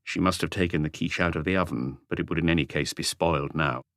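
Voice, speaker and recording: A man's voice: extremely low pitch, steady tone, fast talker, fairly bright tone, dry recording, studio quality. A man reading scripted narration as the narrator of a mystery audiobook.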